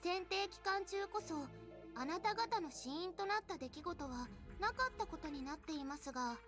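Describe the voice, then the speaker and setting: A woman's voice: very high-pitched, expressive, quick pace, a bit bright. A woman voicing a haughty, girlish game overseer in a visual novel, speaking in a formal, ladylike and condescending way. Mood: formal, composed. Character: prim, haughty, high-voiced girlish female game overseer. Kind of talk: Japanese visual novel voice acting. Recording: music behind the voice.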